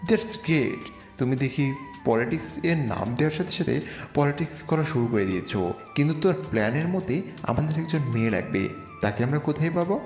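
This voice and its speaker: A man's voice: on the high side, expressive, fairly quick, a little mellow. A man playing a bold, scheming leader of a slum political gang in an audio drama, assured and commanding. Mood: approving, assured. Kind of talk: amateur audio drama acting. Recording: echoey reverb with music behind.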